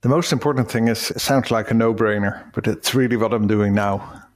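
A man's voice: lowish voice, fairly even intonation, brisk. A Dutch man with a Dutch accent, a cross-cultural consultant explaining ideas thoughtfully in a podcast interview. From Netherlands.

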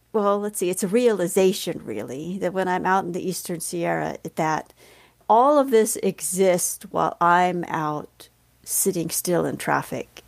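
A woman interviewer speaking in a measured, thoughtful way, pausing mid-sentence as she leads into her questions. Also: on the low side, gravelly, a little mellow. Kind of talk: interview, prepared questions with reflective remarks.

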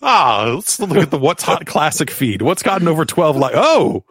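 A man's voice: on the high side, big pitch swings, moderate pace, a bit bright, breathy edge, no room sound, studio quality. A man hosting a podcast in casual comic chat, riffing jokingly and swearing freely. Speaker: podcast host.

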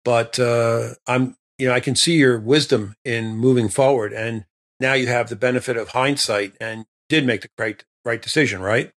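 A man's voice: medium pitch, steady tone, moderate pace, a bit bright. A man hosting an interview, asking long, rambling questions packed with "you know" and "uh". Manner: rambling, long leading questions full of fillers. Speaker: interviewer host. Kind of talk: conversational interview.

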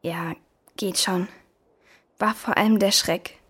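A woman's voice: mid-range voice, slow, breathy edge. A woman playing a curious, adventurous young girl in a children's audio drama. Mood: calm. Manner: expressive, exclaiming.

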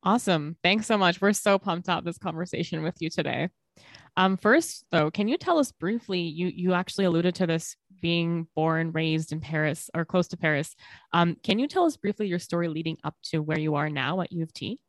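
A woman hosts a podcast interview, upbeat and encouraging as she introduces her guest and prompts him. Her voice sits a little low, and the sound is dry and close. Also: a little fast, no echo. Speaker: podcast co-host.

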